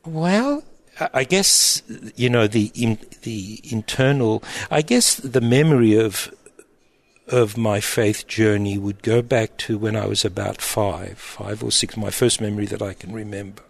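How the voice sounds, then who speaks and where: Middle-aged aussie-abbot, lowish voice, thinks out loud and restarts a lot. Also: male voice, vocal fry, no room sound. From Egypt, raised in Australia. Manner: thoughtful, halting, with restarts. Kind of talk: reflective interview answers.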